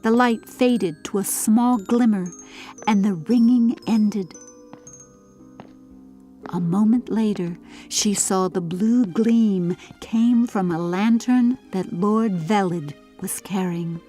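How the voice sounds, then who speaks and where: A woman narrating a scripted storybook audiobook in a deliberate, dramatic storytelling manner. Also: medium pitch, dark tone, soft background music.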